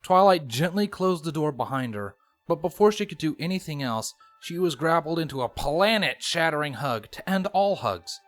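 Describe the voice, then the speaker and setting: A man's voice: a little high, animated, moderate pace, few pauses. A man reading scripted story narration as a storyteller. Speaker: narrator reading fan fiction. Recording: music playing behind the voice.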